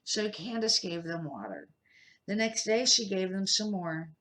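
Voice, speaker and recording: An older woman, a grandmother, reading a children's picture book aloud in a measured, careful amateur style with short phrases. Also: mid-range voice, animated, a bit bright, low-quality recording.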